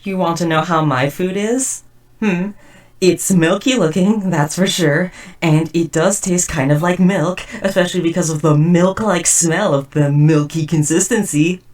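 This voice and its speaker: A woman's voice: on the low side, measured pace, darkish tone, far from the mic, natural voice. A woman playing a socially awkward, devoted but murderous robot house spouse in a roleplay audio drama, speaking in stiff, wordy, formal phrases. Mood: attentive, matter-of-fact. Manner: stiff, verbose, overly formal wording. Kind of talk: scripted roleplay audio drama addressed to listener.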